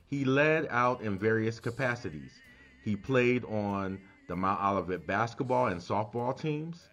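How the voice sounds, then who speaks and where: Low-voiced man reading a memorial biography. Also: fairly bright tone.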